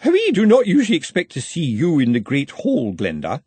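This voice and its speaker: A man's voice: fairly high pitch, wildly swooping pitch, normal speed, breathy edge, dry recording, pitched above the performer's own voice, exaggerated voice. A man voicing a severe, pretentious female housekeeper in an audiobook, with affected diction that makes statements sound like questions. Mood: severe, disapproving.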